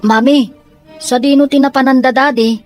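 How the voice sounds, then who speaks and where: Woman playing high-voiced inquisitive child. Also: fairly even intonation, normal speed, darkish tone, faint music behind, close to an everyday voice. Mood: puzzled. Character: inquisitive younger child of the household. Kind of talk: amateur audio drama acting.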